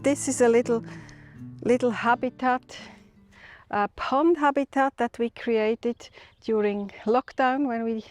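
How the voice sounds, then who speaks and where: A woman's voice: a little high, darkish tone, faint music behind. A middle-aged woman from Switzerland, a stud farmer, speaking thoughtfully and unhurriedly outdoors on her farm. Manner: thoughtful, unhurried, with small restarts. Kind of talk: documentary interview.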